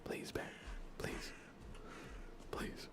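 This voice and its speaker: A man whispering soft, breathy ASMR commentary while livestreaming a video game, often muttering short repeated phrases. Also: extremely slow.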